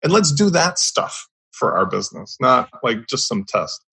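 A man's voice: mid-range voice, big pitch swings, moderate pace, gravelly, sharp tone, breathy edge. A man on a podcast panel giving blunt, emphatic opinions in casual discussion, swearing freely.

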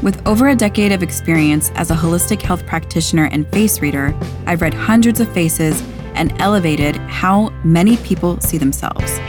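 A woman's voice: on the low side, normal speed, music in the background. A woman hosting a podcast interview, talking in an enthusiastic, effusive way. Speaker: podcast host.

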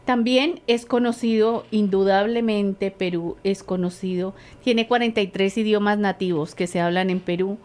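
A woman's voice: medium pitch, steady tone, moderate pace, hardly any pauses, a little mellow. A woman co-hosting a cultural program, explaining facts with frequent hesitations. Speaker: co-host. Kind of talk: presenting facts.

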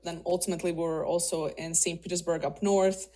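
A woman's voice: on the low side, fairly even intonation, brisk, dark tone. A woman in her thirties from Russia, raised speaking Russian, talking as a guest about her career in a casual interview, chatty with many fillers and restarts.

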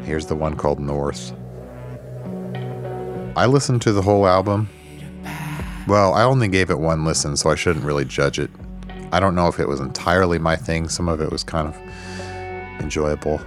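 A man co-hosting a podcast in casual chat, his voice hoarse and raspy from a recent illness, tossing in dry joking asides. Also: extremely low pitch, moderate pace, long pauses, over music. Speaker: podcast co-host.

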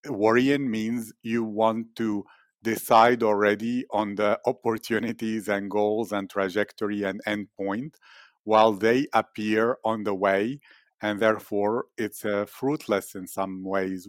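A man with a lowish voice conducts an interview and asks long, carefully built questions in a formal, probing way. The recording is dry, with no room sound around him. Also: fairly even intonation, measured pace, dry recording.

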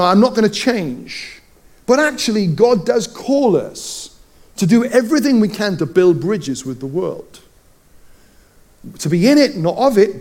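A man's voice: on the high side, a little mellow. A middle-aged Welsh man preaching a sermon, telling personal stories with playful asides. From Wales. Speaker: pastor.

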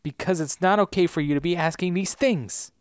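A woman reading the part of an upset young man who scolds his friends with low, stern reproach. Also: fairly quick, dry recording, studio quality, natural voice.

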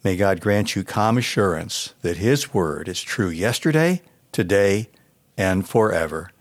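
An American man, a pastor, reading a scripted devotional in a measured, earnest manner. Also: low voice, animated, no echo.

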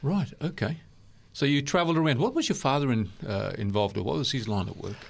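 A man's voice: mid-range voice, lively intonation, a little fast, gravelly, a little hiss or hum. A man with a mixed South African and New Zealand accent hosting an interview in a studio, chatty and laughing easily. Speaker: interview host. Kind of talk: conversational interview.

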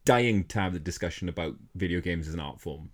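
Welsh guy on a podcast, lowish voice, rambles and swears, gets excited. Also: fast. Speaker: podcast co-host. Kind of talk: casual banter between podcast co-hosts.

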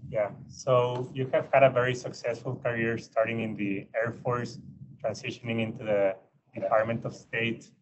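A man's voice: medium pitch, flat delivery, normal speed. A man hosting an interview, steadily reading out his prepared questions. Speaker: host. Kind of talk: casual interview. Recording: dull lo-fi line with loud noise.

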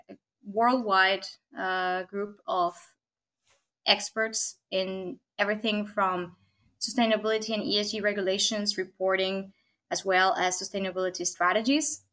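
A woman from Argentina, a technology consultant, speaking as a guest in a podcast interview, thoughtful, with frequent pauses and tag questions. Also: medium pitch, steady tone, a bit bright. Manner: thoughtful, many fillers and restarts, frequent "right?".

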